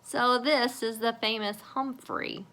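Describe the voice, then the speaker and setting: A warm-natured school librarian welcoming a class, as a woman puts him on. He speaks kindly and unhurriedly, a touch higher than the reader's own voice. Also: big pitch swings, a little slow, exaggerated voice. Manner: kind, welcoming. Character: kind, friendly male school librarian.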